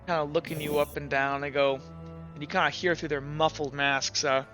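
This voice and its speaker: A man's voice: fairly high pitch, little pitch movement, brisk, pushed and bright, faint music behind. A man improvising tabletop game narration as game master, casual and loosely phrased. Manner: casual, hedging, filled with "kinda".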